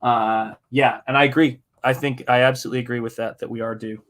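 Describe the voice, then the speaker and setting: A man's voice: mid-range voice, steady tone, quick pace, fairly bright tone. A middle-aged man from the United States chats casually while painting on a livestream, rambling in a jokey, self-deprecating way. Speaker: artist hosting a live painting stream.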